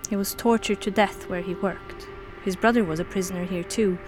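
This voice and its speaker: A woman's voice: mid-range voice, steady tone, fairly quick, music in the background, close to an everyday voice. A woman playing a frank, insistent character proud of her family history, speaking directly and pointedly in a naturalistic audio drama. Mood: grave.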